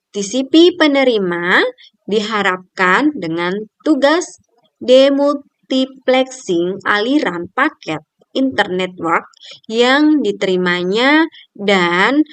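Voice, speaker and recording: A young woman presents a scripted review at an unhurried pace. She reads carefully, point by point, in a mid-pitched voice. The sound is dry, with very little room in it. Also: a bit bright, no echo. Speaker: student presenter.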